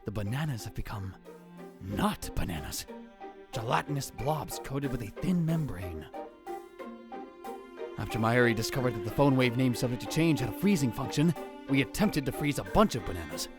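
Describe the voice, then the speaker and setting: A man's voice: medium pitch, moderate pace. Man playing a swooping, theatrical young mad scientist. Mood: musing, narrating. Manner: theatrical, grandiose, swinging into excited exclamations. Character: dramatic young male self-proclaimed mad scientist. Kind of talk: visual novel fan dub, first-person narration and dialogue. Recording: music behind the voice. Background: music.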